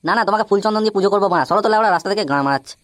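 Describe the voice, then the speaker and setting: A man's voice: high-pitched, rapid-fire, fairly bright tone, no echo, studio quality. A man voicing a foul-mouthed, blustering young thief in a comic cartoon. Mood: defiant, annoyed. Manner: swearing constantly, blustering. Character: foul-mouthed brash young male neighbourhood thief, defiant.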